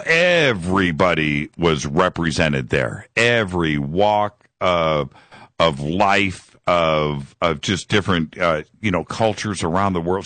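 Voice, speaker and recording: A man's voice: low-pitched, a bit bright, no room sound. A man hosting a live call-in radio show, enthusiastic and rambling, repeating words and leaning on fillers.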